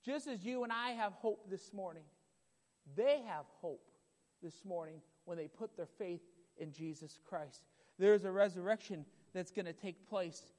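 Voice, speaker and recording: A man preaching a sermon with a loud voice, emphatic and repetitive, building in intensity. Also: high voice, a little slow. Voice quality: loud voice at times. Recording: long hall reverb.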